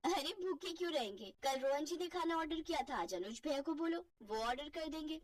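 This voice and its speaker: A woman's voice: high-pitched, animated, fairly quick, far from the mic, pitched above the performer's own voice. A woman voicing a lazy, pampered, cheeky young wife, whining and defiant, in a family cartoon. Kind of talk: Hindi family cartoon voice acting.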